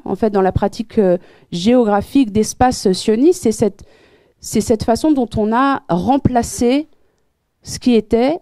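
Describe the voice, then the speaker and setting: Woman, guest speaker walking through slides; warm low-toned voice, earnest, lots of hesitant restarts, dry close sound. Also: no echo. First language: French. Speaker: guest speaker at a public debate. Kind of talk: explanatory lecture with slides.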